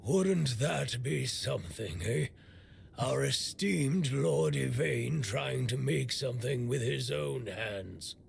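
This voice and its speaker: An actor playing a bitter, blunt, middle-aged blacksmith in a video game, grumbling and weary with worry. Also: male voice, medium pitch, lively intonation, slow pace, creaky, slight background noise, full character voice. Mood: gruff, scornful. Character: gruff, bitter male blacksmith, worried for a stricken young man.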